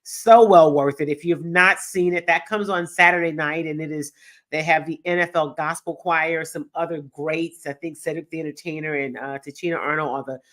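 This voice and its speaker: A woman's voice: low-pitched, steady tone, moderate pace, a bit bright. A middle-aged woman from Texas hosting a live sports talk show, her voice nasal from a cold, upbeat and chatting directly with viewers. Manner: upbeat, addresses viewers directly, reads chat aloud. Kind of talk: live-streamed casual show chat.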